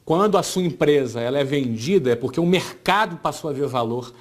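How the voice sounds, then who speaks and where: A man's voice: fairly high pitch, expressive, a little fast. A man in his forties with a Brazilian Portuguese accent, giving an informal business talk as an entrepreneur, conversational and often checking in with his listeners. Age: 40s.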